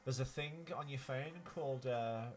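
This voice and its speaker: A man's voice: medium pitch, normal speed, faint music behind. A man co-hosting a podcast, chatting casually and giving opinionated, rambling takes on films. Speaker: podcast co-host. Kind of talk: casual banter and film commentary.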